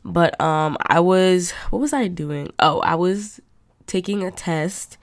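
A woman's voice: on the low side, slow pace, no room sound, clean studio sound. A young woman hosting her own talk show, chatting casually and rambling with many fillers, her voice nasal and stuffed up from a cold. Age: young adult. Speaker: podcast host. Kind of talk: casual monologue.

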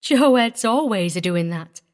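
A woman's voice: fairly high pitch, expressive, moderate pace, pitched above the performer's own voice, natural voice. A woman voicing a simple, devoted young mountain wife in a storybook, earnest and prim, with a rural Southern US accent. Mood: pleasant, chiding.

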